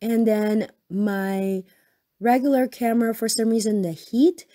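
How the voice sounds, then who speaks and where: Hoarse woman chatting over a craft tutorial. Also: a little high, steady tone, measured pace, mellow. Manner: chatty, rambling with frequent ums and self-corrections. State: hoarse from a cold. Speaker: crafting channel host.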